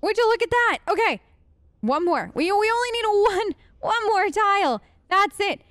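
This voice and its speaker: A woman's voice: very high-pitched, expressive, normal speed, fairly bright tone, no room sound. A woman doing casual, chatty role-play game commentary with a playful, wry manner. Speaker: gamer, let's player.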